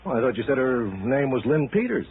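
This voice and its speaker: Weary, grumbling cop for vintage radio noir: slangy, middle-pitched, thin narrow-band broadcast. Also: male voice, very animated, brisk. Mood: grudging. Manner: exasperated, sardonic, colloquial. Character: skeptical, grumbling New York police officer, long-suffering pal. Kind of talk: 1960s radio noir acting. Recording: noisy, tinny old radio broadcast.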